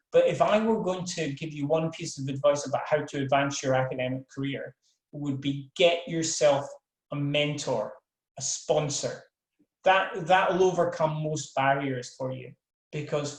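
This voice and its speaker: A man's voice: a little high, reverberant, rough recording. An older man from Scotland with a Scottish accent, a professor giving a frank webinar talk, full of fillers and restarts. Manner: frank, self-deprecating, many fillers and restarts. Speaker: professor of world politics.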